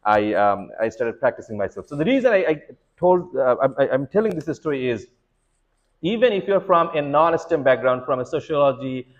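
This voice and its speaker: A man's voice: medium pitch, big pitch swings, moderate pace, highs cut off. A middle-aged man from Bangladesh, an immigration lawyer giving earnest advice to students, restarting often and leaning on fillers.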